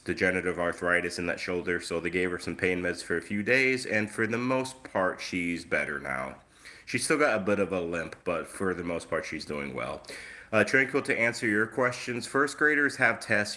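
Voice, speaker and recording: A man's voice: low-pitched, lively intonation, normal speed, pushed and bright. A man hosting a casual live stream on his phone, chatty and easygoing, with a lingering cough that leaves his voice a little off. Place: outdoors, then indoors at home.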